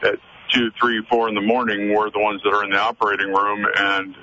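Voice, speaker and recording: A man's voice: fairly low pitch, monotone, brisk, bright, forceful tone. A man calling in to a radio show from his car over a phone line, talking earnestly with frequent hesitations and fillers. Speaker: caller. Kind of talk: call-in radio conversation. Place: in a car, driving. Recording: muffled phone call with faint road noise.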